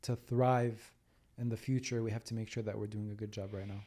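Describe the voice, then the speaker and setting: Fast-talking mellow male host. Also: lowish voice, flat delivery. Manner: chiming in briefly, finishing thoughts. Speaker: podcast host. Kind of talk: casual interview chat.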